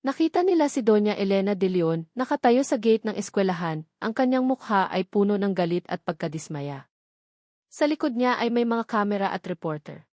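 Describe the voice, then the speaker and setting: A woman's voice: medium pitch, lively intonation, brisk, a little mellow, no echo, studio quality. A woman reading scripted narration of a dramatic love story.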